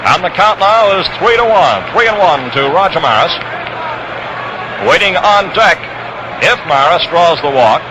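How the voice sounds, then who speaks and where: A man's voice: sits high, expressive, measured pace, dull audio. Noisy 1960s radio baseball announcer, American man. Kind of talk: 1960s radio sports commentary. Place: ballpark broadcast position. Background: crowd hum, noise.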